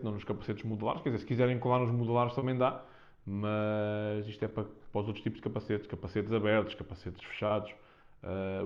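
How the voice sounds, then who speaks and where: A man with a European Portuguese accent talks casually and unscripted to viewers as he presents a product, improvising loosely and repeating himself. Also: on the low side. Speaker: vlogger presenting a product unboxing. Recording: dull, muffled lo-fi sound.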